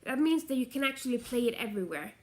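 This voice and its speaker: A woman's voice: fairly high pitch, expressive, fast talker. Chatty nasal woman vlogging. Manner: chatty, meandering, self-correcting. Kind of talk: casual rambling vlog chat.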